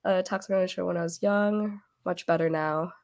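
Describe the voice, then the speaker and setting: A woman hosting a casual book club chat over a video call, losing her voice as she gets over an illness, chatty and quick to laugh. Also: lowish voice, steady tone, a little mellow. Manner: chatty, laughs often, trails off.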